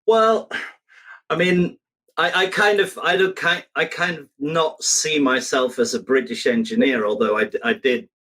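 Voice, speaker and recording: A man's voice: fairly high pitch, steady tone, moderate pace, bright, forceful tone. A man from Belgium who works as a recording engineer, speaking casually and giving opinionated explanations, sometimes imitating sounds with his voice. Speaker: recording engineer, interview participant. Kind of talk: casual conversation.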